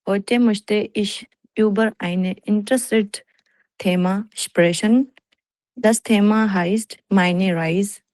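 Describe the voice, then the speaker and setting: A woman from Pakistan presents as a language learner, very slowly and haltingly. She repeats words to correct herself, and the recording is close and dry. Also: mid-range voice, steady tone, a little mellow, no room sound, rough recording. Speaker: language student. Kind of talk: beginner presentation in class.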